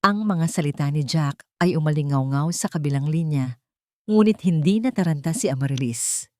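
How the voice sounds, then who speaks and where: A woman with a slightly low voice reads a dramatic audio story. Her delivery is measured and solemn, and the recording is dry and close, with little room sound. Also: mellow, no room sound. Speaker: story narrator. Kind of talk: scripted dramatic audio story narration in Tagalog.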